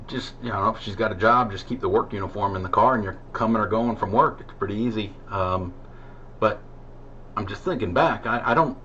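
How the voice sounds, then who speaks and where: Middle-aged American male CB operator, lowish pitch, rambling casual chat, muffled radio sound with some static. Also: fairly bright tone. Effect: CB radio transmission.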